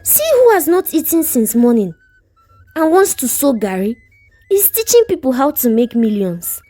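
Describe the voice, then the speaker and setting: A woman's voice: high voice, big pitch swings, normal speed, mellow, no room sound, soft background music, pitched above the performer's own voice. A woman storyteller with a Nigerian accent voicing a young woman, the frank, chiding friend of a scammer. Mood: mocking, teasing. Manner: blunt, chiding.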